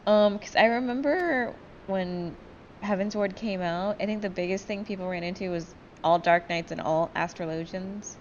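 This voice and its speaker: A woman's voice: medium pitch, normal speed, dull audio, slight background noise. A woman hosting a gameplay video, chatting casually, joking and swearing freely. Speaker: gaming video host. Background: game music.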